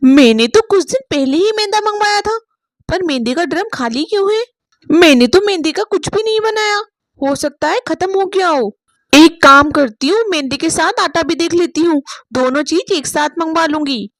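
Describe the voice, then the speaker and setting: A woman voicing a capable, caring elder sister-in-law with an instructive, gently firm manner, in storybook dialogue. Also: extremely high pitch, lively intonation, moderate pace, no echo. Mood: suspicious.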